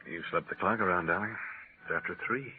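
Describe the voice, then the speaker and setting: A man's voice: low-pitched, brisk, natural voice. A male actor playing a confident, affectionate scientist suitor in a 1940s radio drama, teasing and assured. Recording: muffled vintage radio broadcast.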